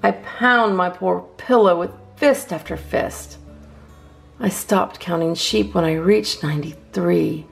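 A woman reading children's poems aloud as a storytime host, with expressive, measured phrasing. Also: fairly low pitch, vocal fry, soft background music.

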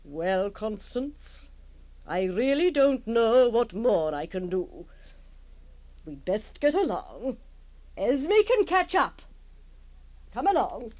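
An actress playing an imperious, unflappable aristocratic baroness in a radio drama, haughty and drily exasperated. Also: female voice, on the high side, very animated, darkish tone. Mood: drily unruffled. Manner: haughty, brisk commands, dry understatement. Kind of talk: radio drama acting and wry first-person narration.

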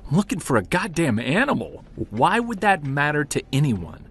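An actor playing a weary, stubborn investigator in an audio drama, earnest and confiding. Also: male voice, fairly high pitch, wildly swooping pitch, moderate pace, a bit bright, husky, full character voice. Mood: rattled, indignant. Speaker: lead actor and narrator. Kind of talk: naturalistic audio drama acting with first-person narration.

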